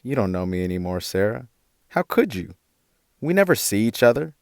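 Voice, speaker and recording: A man's voice: on the low side, big pitch swings, normal speed, vocal fry, no room sound, studio quality. A man playing a defiant eighteen-year-old activist in a radio drama, sarcastic and argumentative. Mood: resentful.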